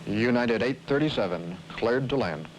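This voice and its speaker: A man acts as a tower controller with a medium-pitched voice. He gives terse, matter-of-fact clearances in radio phraseology. Slight noise sits on the old film recording. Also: expressive, normal speed, close to an everyday voice. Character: brisk airport tower controller.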